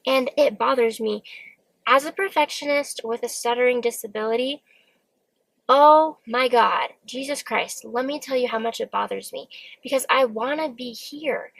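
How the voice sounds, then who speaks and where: A woman's voice: on the high side, normal speed, creaky, a bit bright, no room sound, rough recording. A young woman vlogger talking candidly and earnestly to camera from her car, with an occasional stammer. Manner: open, earnest, self-reflective. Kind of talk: candid solo talk to camera. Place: parked car.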